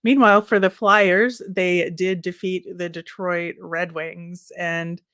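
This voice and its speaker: A woman co-hosting a sports podcast, chatting casually through recaps in a measured, conversational way. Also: lowish voice, fairly even intonation, fairly bright tone, clean tone, dry recording, clean studio sound. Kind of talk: casual hockey recap chat.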